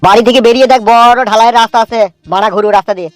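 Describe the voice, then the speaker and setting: A man's voice: extremely high pitch, steady tone, very fast, smooth, no room sound, soft background music. A man voicing a crude, threatening older father-in-law in a cartoon, berating with a processed voice. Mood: angry.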